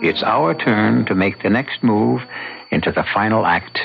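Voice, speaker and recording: An actor hosting an old-time radio mystery drama, musing in a deliberate, theatrical manner. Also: male voice, fairly low pitch, animated, vocal fry. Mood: brooding, speculative. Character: brooding male mystery-theater host musing on chess. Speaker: host. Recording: dull vintage radio, loud music behind. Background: music.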